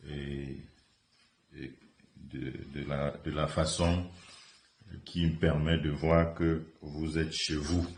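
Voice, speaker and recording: A man gives a formal thank-you speech as a local leader, solemn and measured, pausing on fillers. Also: rumbling low, roomy sound, slight background noise.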